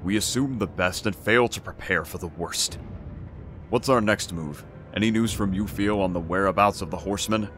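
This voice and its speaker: A male actor plays a brooding, loyal warrior god with a low, forceful, hard-edged voice. He is blunt, grim and disapproving. This is fantasy audio drama over faint music. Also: moderate pace. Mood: weary, regretful.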